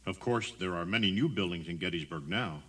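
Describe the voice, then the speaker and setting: A man's voice: low-pitched, a little fast, pushed and bright, breathy edge. A man narrating a scripted audio tour as a guide, in a conversational, emphatic storytelling manner.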